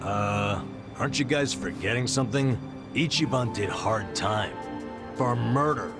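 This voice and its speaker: A jaded, sardonic sidekick in a video game. His voice is lowish and his delivery blunt, with loud ominous music behind. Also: a little slow. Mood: skeptical. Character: jaded, skeptical male companion. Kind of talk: English video game dub acting.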